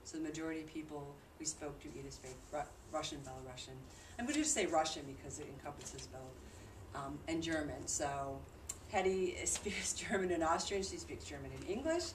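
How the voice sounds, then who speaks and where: Low-voiced woman presenting in an echoey room. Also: expressive, fairly quick, few pauses. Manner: conversational, explanatory. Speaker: presenter reporting on a charity trip. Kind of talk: informal presentation to a group. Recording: distant mic in noisy, echoey room.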